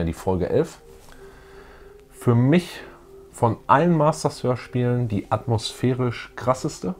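A man in his thirties co-hosting a relaxed, slangy fan chat in a small indoor room. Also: mid-range voice. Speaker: video show co-host.

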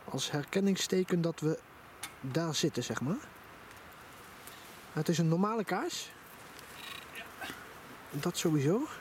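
Guy vlogging outside in the woods, high voice, really into it, noisy camera audio. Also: lively intonation, slow pace, pauses often. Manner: enthusiastic. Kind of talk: casual outdoor vlog commentary. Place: outdoors in a forest at night by a campfire.

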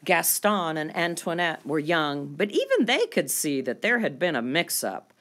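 A woman reading a children's picture book aloud as storyteller, expressive and deliberate, drawing out key words for young listeners. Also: low-pitched.

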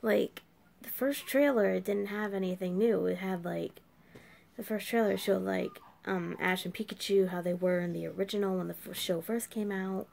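A woman's voice: medium pitch, steady tone, normal speed, creaky, a little mellow. An eighteen-year-old woman with a scratchy, sore throat, chatting casually as a reaction video host from a small room late at night. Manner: puzzled, exclaiming questions. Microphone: webcam at desk.

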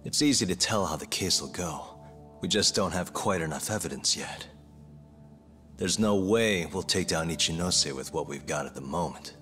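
A man playing a tough, determined detective in a video game cutscene, terse and steady. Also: sits low, moderate pace, soft background music. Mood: measured. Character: tough, determined male detective, calm and reassuring.

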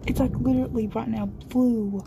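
A woman's voice: on the high side, steady tone, moderate pace, vocal fry, dark tone. A scared, shaky woman giving breathless, exclaiming commentary into a handheld phone. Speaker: amateur storm videographer. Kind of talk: excited running commentary on a phone video. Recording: noisy amateur phone recording, storm ambience.

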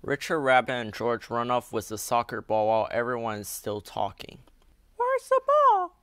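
A woman narrating a children's storybook aloud in a deliberate, simple storytelling manner. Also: a bass voice, steady tone.

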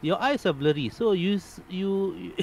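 Laughing, lively-voiced game master, man. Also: high-pitched, moderate pace, creaky, poor audio. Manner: laughs often while narrating. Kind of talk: narrating a tabletop game online.

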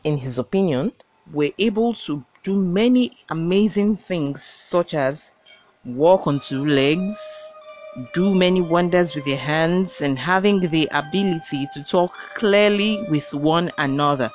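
A Nigerian-accented woman narrating a folktale in a deliberate, emphatic storytelling manner. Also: fairly low pitch, darkish tone, rough recording. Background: background music.

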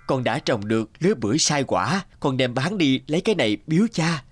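A man playing a greedy, scheming older peasant brother in an animated folk tale, smug and falsely polite. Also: medium pitch, fairly quick, over music, natural voice.

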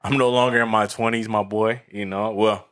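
A man's voice: fairly low pitch, steady tone, moderate pace, a bit bright. A man in his early thirties hosting a podcast, talking in laid-back, slangy banter while a little tipsy. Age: 30s. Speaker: podcast host. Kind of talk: casual chat.

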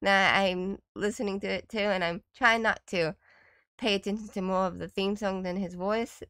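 A woman's voice: medium pitch, fairly even intonation, normal speed, fairly bright tone, clean tone, no room sound, studio quality. A woman giving casual reaction commentary as a video host, in an appreciative, easily impressed way.

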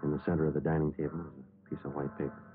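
Deep, fast, deadpan radio police sergeant. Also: male voice. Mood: flat, factual. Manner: clipped, deadpan, matter-of-fact. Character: terse, businesslike male police detective sergeant who narrates. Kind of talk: 1950s radio drama acting and narration. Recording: muffled old radio recording with music.

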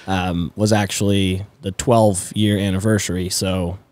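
A man in his twenties from the Netherlands, a native Dutch speaker, chatting casually and haltingly as a podcast guest. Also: low voice, level tone, a little mellow, no room sound. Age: 20s. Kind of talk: casual conversational storytelling. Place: indoors, garage-like studio. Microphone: close podcast mic on boom arm.

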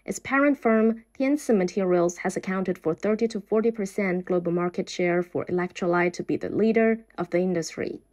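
A woman reads a scripted documentary voice-over at a steady, even pace. Her pitch sits in the middle range, and the delivery stays measured throughout. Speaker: narrator.

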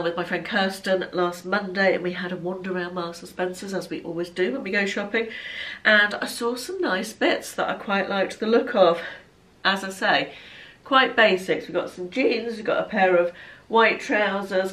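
A woman's voice: mid-range voice, fairly bright tone. Bunged-up woman in her fifties. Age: 50s. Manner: chatty, rambling, self-deprecating. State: congested from hay fever. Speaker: fashion vlogger. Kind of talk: casual chat. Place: bright living room at home. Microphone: camera across the room. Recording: voice a bit far from mic.

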